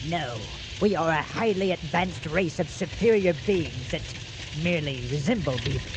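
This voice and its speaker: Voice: medium pitch, moderate pace, noisy background, natural voice. An actor voicing an earnest, self-important alien beaver aide who explains things formally in a radio drama. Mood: haughty. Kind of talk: old-time comic radio acting.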